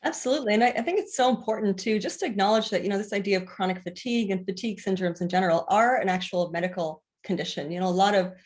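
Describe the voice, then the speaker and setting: Female guest from the Bahamas, a naturopathic doctor, earnest and rapid-fire. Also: mid-range voice. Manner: earnest, frequent filler phrases. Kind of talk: casual interview talk.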